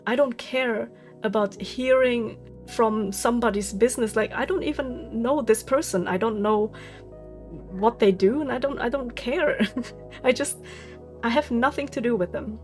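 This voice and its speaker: A woman, venting candidly in a casual vlog monologue, swearing freely and laughing often. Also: mid-range voice. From Germany. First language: German. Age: 30s. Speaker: vlogger.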